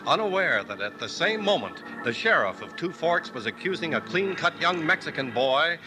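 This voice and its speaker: Dramatic 1950s radio announcer over music. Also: male voice, fairly high pitch, sing-song, normal speed. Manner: dramatic, declamatory.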